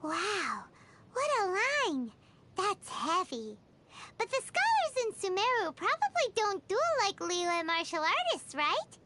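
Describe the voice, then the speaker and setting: Squeaky, very high childlike fairy. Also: female voice, huge pitch swings, takes it slowly, noisy background, exaggerated voice. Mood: excited. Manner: bubbly, exaggerated, speaks of self in third person. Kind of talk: anime video game voice acting.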